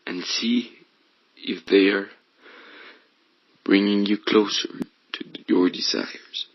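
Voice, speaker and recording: A man leading a guided meditation in a hushed, breathy voice that often slips toward a whisper. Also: fairly low pitch, steady tone, slow pace, no room sound, muffled recording. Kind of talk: guided meditation, soothing instruction.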